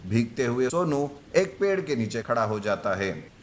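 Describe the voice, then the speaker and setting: A man's voice: mid-range voice, very animated, unhurried, background noise. A man narrating a scripted children's moral story as a storyteller.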